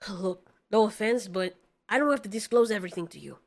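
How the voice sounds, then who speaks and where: Woman acting as male kaiju, gruff manner, frustrated, curt, defensive, monster audio story. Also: medium pitch, fairly quick, a little mellow, natural voice. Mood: annoyed. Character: Rodan, brash frustrated young male winged kaiju.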